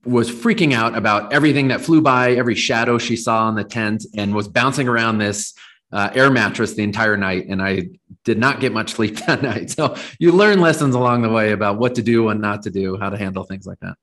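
A man's voice: lowish voice, a little fast, a bit bright. An American man in his forties from Southern California, answering conversationally as a company founder being interviewed.

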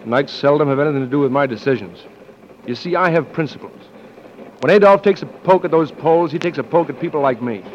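An actor playing a brash, romantic American flyer, slangy and sardonic, in 1940s radio drama style. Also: male voice, a little high, expressive, fast talker, close to an everyday voice. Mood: breezy, wry. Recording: muffled, noisy 1940s radio recording.

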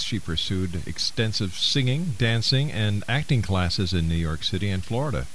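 A man hosting a radio talk show, speaking earnestly and deliberately as he interviews and makes announcements. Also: fairly low pitch. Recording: hissy, noisy broadcast audio.

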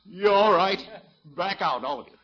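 An actor playing a pompous, scheming middle-aged small-town commissioner in 1950s radio comedy, blustering and self-important. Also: male voice, high-pitched, animated, moderate pace. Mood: indignant. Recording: muffled vintage 1950s radio recording.

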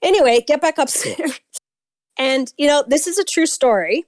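A woman from Canada with a Canadian accent, calling in to tell a story on a radio show, talking fast and rambling with fillers and restarts. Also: sits high, animated, dry recording, studio quality. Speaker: caller. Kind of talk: phone-in storytelling.

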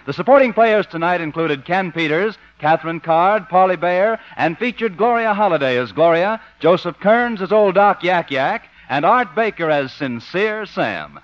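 High-pitched 1950s male radio announcer. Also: expressive, normal speed, few pauses. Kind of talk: 1950s scripted broadcast announcing. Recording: dull old radio recording.

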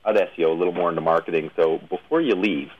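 Man, radio host, lower-pitched voice, casual interviewing, halting rephrased questions. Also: phone-quality sound, slight background noise.

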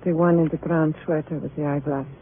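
An actress playing an anxious young dance hall suspect in a 1950s radio drama, speaking in hesitant short phrases. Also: female voice, deep voice, steady tone, a little fast, close to an everyday voice. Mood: hesitant, compliant. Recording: tinny, noisy old radio recording.

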